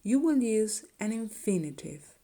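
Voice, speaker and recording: Slow, gravelly woman teacher. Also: medium pitch, big pitch swings, dark tone. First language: Spanish. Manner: slow and deliberate, checks in with "okay?". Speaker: Spanish teacher. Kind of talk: language lesson.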